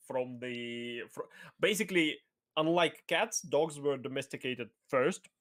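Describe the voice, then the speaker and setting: A man's voice: medium pitch, a little slow, a bit bright. A man as guest in a casual debate chat, rambling with restarts, laughing and swearing casually.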